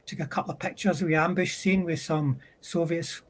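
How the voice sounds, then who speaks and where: A man with a husky voice chatting casually as a guest on a hobby livestream. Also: on the high side, steady tone, a little fast, slight background noise.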